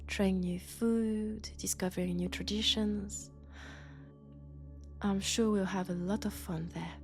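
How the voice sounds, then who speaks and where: A woman with a French accent, sick with a cold, playing a gentle, sociable traveling doctor from Mars in an intimate sci-fi audio roleplay, chatty and soft. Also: mid-range voice. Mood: warm, content. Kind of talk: intimate sci-fi roleplay acting, one-sided conversation.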